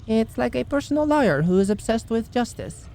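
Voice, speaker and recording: A woman's voice: on the high side, expressive, fairly quick, mellow. A woman playing a kind, wry bounty hunter mage in a fantasy ASMR audio roleplay. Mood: wry, chatty.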